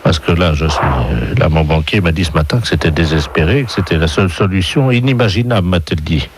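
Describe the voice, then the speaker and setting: A man playing a polite, guileless and overly grateful insolvent bank customer in a prank call, hesitant and rambling with a deadpan courtesy. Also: low voice, brisk, pitched below the performer's own voice, lightly acted. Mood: grateful, earnest. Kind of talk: prank phone call improvisation. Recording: noisy radio prank phone call.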